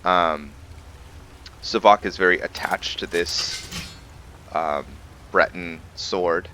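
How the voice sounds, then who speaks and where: A man with a very low voice hosts a roleplay game playthrough. He comments casually and thinks aloud as he goes. Game ambience and noise sit clearly behind him. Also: takes it slowly, bright, forceful tone. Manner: talks to himself, thinking aloud. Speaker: gamer hosting a roleplay playthrough.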